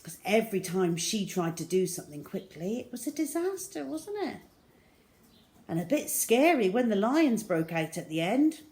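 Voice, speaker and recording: A woman reading a picture book to young children as a storyteller, with dramatic pauses and emphasis, speaking directly to her listeners. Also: mid-range voice, very animated, darkish tone.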